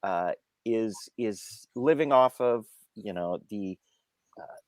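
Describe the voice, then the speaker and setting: A man reviewing a film in unscripted discussion, halting and repeating himself as he searches for words. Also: fairly low pitch, expressive, a little mellow, no echo.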